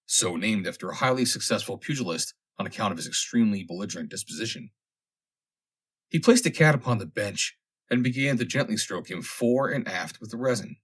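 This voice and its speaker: A man narrates a humorous vintage tale in a rather low, husky voice. His pitch moves in animated swings, and he phrases things deliberately, with theatrical emphasis on key words. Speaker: audiobook narrator.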